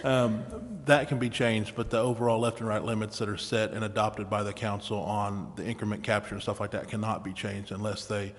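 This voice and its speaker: Guy at a committee meeting, flat lowish voice, informal, reverby room. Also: fairly quick, few pauses. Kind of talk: informal remarks at a public meeting. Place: city council chamber.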